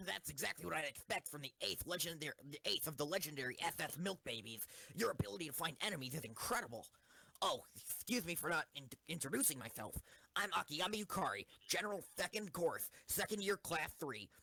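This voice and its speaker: A man's voice: a little high, expressive, fairly quick, creaky, sharp tone, husky, full character voice, poor audio. A man playing an eager, formal schoolgirl tank enthusiast in an amateur audio drama. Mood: gushing, eager. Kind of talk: amateur anime-style audio drama acting.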